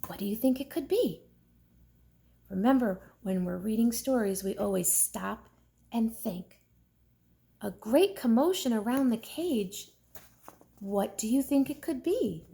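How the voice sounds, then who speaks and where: A woman reading a storybook aloud like a teacher, expressive and deliberate for young listeners. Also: a little high, vocal fry.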